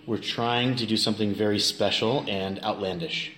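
A man's voice: fairly low pitch, fairly even intonation, normal speed, a bit bright. A middle-aged American man from Kansas chatting casually and jokily as an interview guest. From Kansas, United States. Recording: roomy, clearly noisy location recording.

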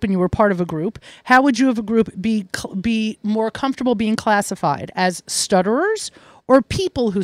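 A woman's voice: a little high, dry recording. A woman interview host who asks long, thoughtful, winding questions with some fillers. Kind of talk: interview conversation.

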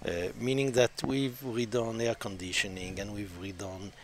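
A man, a hotel manager answering interview questions slowly, with many "uh" fillers. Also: lowish voice, steady tone, noisy background. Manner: hesitant, many "uh" fillers, non-native phrasing.

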